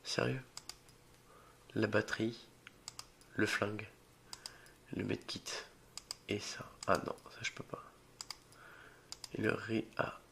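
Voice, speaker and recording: A young man talks his way through a horror game with a head cold that roughens his fairly low, gravelly voice. He jokes, mutters and swears when startled, admitting he is scared. Faint keyboard clicks and typing sit behind him. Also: little pitch movement, takes it slowly, long pauses, fairly bright tone, slight background noise. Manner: jokey, easily spooked, mutters reactions and swears. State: has a cold, voice a bit rough. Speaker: gaming video creator. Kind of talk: solo horror game let's play commentary.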